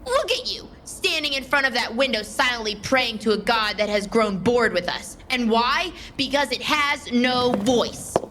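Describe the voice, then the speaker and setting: A woman playing a defiant, impassioned soldier in an audio drama, speaking with forceful, emphatic indignation. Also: fairly high pitch, lively intonation, measured pace, few pauses, slight background noise. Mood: indignant. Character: defiant, impassioned female soldier guardian standing her ground.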